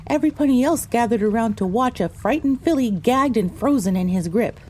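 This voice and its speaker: A mellow, fairly high female storyteller , acted by a woman, narrates as a young pony in an audio drama. She recounts a frightening misadventure in the first person, with faint trickling water behind her. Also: animated, fairly quick, slight background noise, lightly acted. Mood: dramatic recounting.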